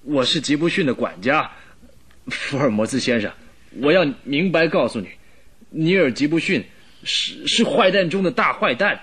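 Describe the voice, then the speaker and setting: An actor playing a timid middle-aged butler in a radio drama, hesitant and stammering with an apologetic manner. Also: male voice, a little high, lively intonation, moderate pace, fairly bright tone, slightly rough. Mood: bitter, agitated.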